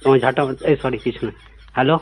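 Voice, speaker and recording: A man's voice: mid-range voice, steady tone, fast talker, noisy background. A man sweet-talking and coaxing on a prank phone call, often checking in with the listener. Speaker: prank caller. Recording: dull lo-fi call recording.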